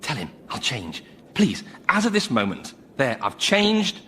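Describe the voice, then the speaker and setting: An actor playing a vain, self-pitying damned sinner in a radio comedy, whining and pleading melodramatically. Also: male voice, mid-range voice, huge pitch swings, measured pace, pushed and bright, a little hiss or hum, exaggerated voice. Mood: desperate pleading. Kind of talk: studio radio comedy acting.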